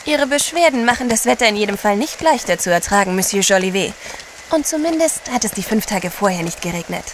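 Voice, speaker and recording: An actress playing a spirited, self-possessed adventurous wife in an audio drama, wry and composed. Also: female voice, medium pitch, animated, brisk, a little hiss or hum, close to an everyday voice. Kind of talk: German radio play acting.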